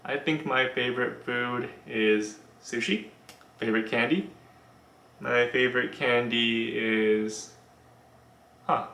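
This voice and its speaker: Bright-voiced young man answering viewer questions. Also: mid-range voice, creaky, roomy sound. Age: young adult. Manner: relaxed, halting, many ums and restarts. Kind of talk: casual Q&A vlog.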